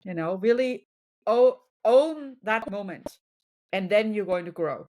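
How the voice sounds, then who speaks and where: Middle-aged woman, German accent, mid-pitched. Coach as interview guest, conversational, motivational, emphatic. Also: animated, measured pace, a little mellow, poor audio. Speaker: business and wellness coach, podcast guest.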